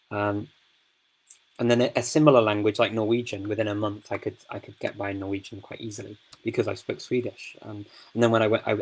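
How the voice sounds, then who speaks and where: Host, male, lowish voice, relaxed live-stream chat, chatty and rambling. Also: poor audio. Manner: chatty, warm, lots of fillers and restarts.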